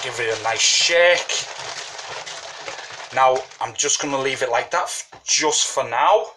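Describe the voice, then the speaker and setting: A man's voice: medium pitch, slow pace, background noise. A man with a cold, sniffling a little, talking casually and rambling as he explains a home brewing recipe at his kitchen table. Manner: chatty, rambling, says "you know what I mean". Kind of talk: casual how-to tutorial.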